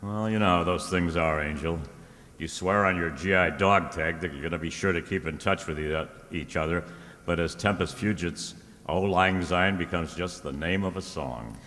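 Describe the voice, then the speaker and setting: Man playing a low-voiced, easygoing private detective. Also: normal speed, a bit bright. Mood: wry, nostalgic. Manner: colloquial, reassuring, takes charge. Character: easygoing, steady male private detective, army veteran. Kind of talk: old-time radio drama acting. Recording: roomy hall with long reverb.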